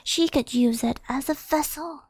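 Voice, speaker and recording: Girl playing an earnest yokai sorceress, asmr roleplay, higher breathy voice with lots of creak, kinda confessing. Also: unhurried, a little mellow, dry recording. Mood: earnest confession. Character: earnest, secretive female yokai sorceress, devoted to her friend.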